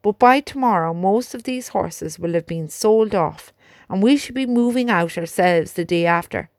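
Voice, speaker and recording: A woman reading aloud, voicing a reluctant male army major who delivers bad orders slowly. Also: medium pitch, dry recording, studio quality, close to an everyday voice. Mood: resigned. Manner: slow, measured, subdued.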